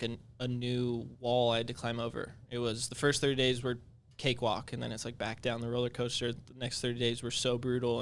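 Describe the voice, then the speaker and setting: A young man with an average-pitched voice co-hosts a podcast. His chat is easygoing and loose, and he drops in casual fillers as he goes. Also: a bit bright, slight background noise. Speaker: podcast co-host.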